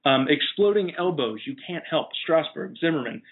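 A man hosting a sports podcast in a casual, somewhat halting chatty style. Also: on the high side, animated, narrow, tinny audio.

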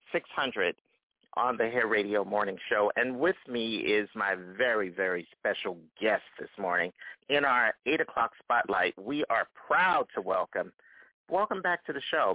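A man's voice: medium pitch, no room sound. Man, radio morning host, chatty, repeats himself, thin phone-like sound, dry. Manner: chatty, repeats words as he goes. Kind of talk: live radio show hosting.

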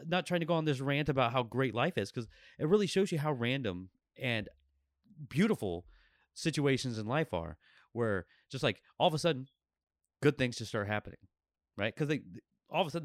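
A man hosting a podcast in casual chat, speaking candidly and reflectively, with halting restarts. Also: medium pitch, expressive, no room sound, studio quality. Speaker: podcast host.

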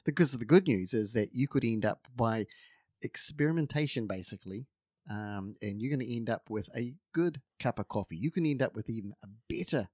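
A man's voice: mid-range voice, lively intonation, brisk, darkish tone, no echo. A man with a New Zealand accent talks through the topic with an easy, confident manner, often restarting a thought mid-sentence. His voice sits in a middle range. The sound is dry and close. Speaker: coffee expert co-host. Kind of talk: casual podcast chat.

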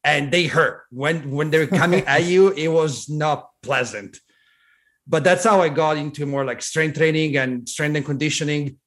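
A man in his thirties from Italy with an Italian accent, telling his story as a guest in a relaxed, rambling way full of "like" and restarts. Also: fairly high pitch, normal speed. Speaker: guest, strength coach. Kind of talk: casual conversational storytelling.

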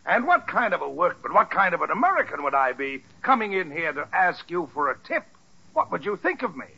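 Pompous, bright-voiced company boss. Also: male voice, high-pitched, very animated, fairly quick, gravelly, breathy edge, full character voice. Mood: mock indignant. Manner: pompous, theatrical, jovially persuasive. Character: hearty, wily, condescending male company boss. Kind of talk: 1940s radio sitcom acting. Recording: muffled vintage radio sitcom recording.

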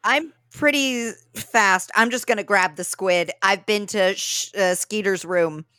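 A woman playing in a tabletop game, excitable and profane. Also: mid-range voice, a little slow, clean tone, dry recording, studio quality. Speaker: player. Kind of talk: casual tabletop play.

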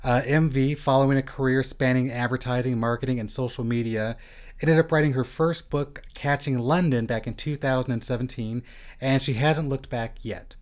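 A man's voice: medium pitch, moderate pace. A man hosting a podcast, chatting casually with a guest and often stopping to restart his sentences. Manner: loud theatrical intro, then relaxed and rambling. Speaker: podcast host. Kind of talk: casual interview chat. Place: Las Vegas home studio.

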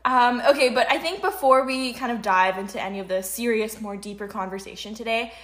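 Higher-pitched young Canadian woman podcaster. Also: a little fast, fairly bright tone. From Canada, grew up in Vancouver. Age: early 20s. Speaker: podcast co-host. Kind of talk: casual conversational podcast.

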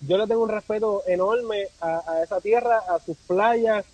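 Puerto rican guy on a podcast, soft mellow raspy voice, dry close sound, thoughtful casual talk. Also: sits high, normal speed, no room sound, rough recording. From Puerto Rico. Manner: reflective, philosophical, repeats phrases for emphasis. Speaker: podcast guest, travel content creator. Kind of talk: casual conversational interview.

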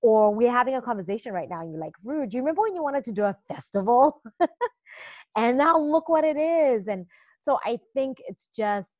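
A woman's voice: on the high side, fairly quick, no echo, highs cut off. A woman in her thirties from Zimbabwe, a guest and care provider, talking freely in a casual interview and acting out quoted remarks. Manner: talkative, animated with quoted asides. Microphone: remote call.

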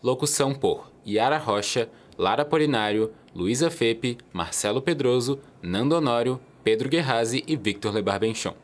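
A man's voice: mid-range voice, lively intonation, moderate pace, few pauses. A man reading scripted narration as the storyteller of an audio drama.

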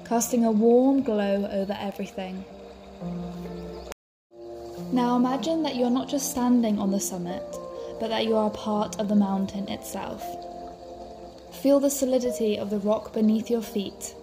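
A woman leading a guided meditation as a podcast host, speaking in a calm, soothing, measured way. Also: mid-range voice. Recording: music behind the voice. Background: background music.

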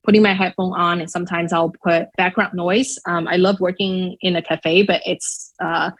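A woman from Shanghai with a Chinese accent, answering interview questions thoughtfully and filling pauses with "um" and "like". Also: on the low side, little pitch movement. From Shanghai, China. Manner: thoughtful, many fillers like "um" and "like". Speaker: podcast guest.

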